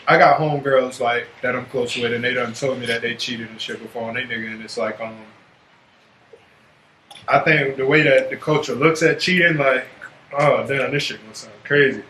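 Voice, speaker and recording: A man's voice: medium pitch, steady tone, moderate pace, sharp tone. A man in his twenties speaking as a podcast co-host, blunt, joking and slangy in casual chat. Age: 20s. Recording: roomy echo with faint background hiss.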